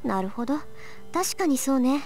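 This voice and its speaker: A proud, cool teenage girl from a visual novel. Her speech is high, very rapid and lively in pitch, brisk and assertive over soft music. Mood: cool, businesslike. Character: sharp, proud, businesslike teenage girl mage. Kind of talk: Japanese visual novel voice acting.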